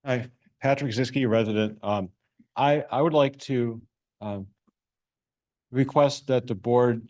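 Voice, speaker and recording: A man with a lowish voice steps up as a resident to make a public comment at a township board meeting. He speaks hesitantly, pausing and restarting, and the sound is dry and close. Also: a little mellow, dry recording. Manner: hesitant, many ums and restarts.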